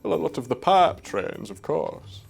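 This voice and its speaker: A man playing an eccentric, pushy model train businessman with a gravelly voice in a mock corporate documentary. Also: on the high side, very animated, a little slow, faint music behind, full character voice. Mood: wistful.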